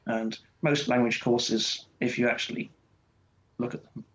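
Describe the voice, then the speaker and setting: A man from Wales, a guest scholar talking in a relaxed interview, rambling with lots of restarts and fillers. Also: medium pitch, unhurried, dull audio. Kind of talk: conversational interview.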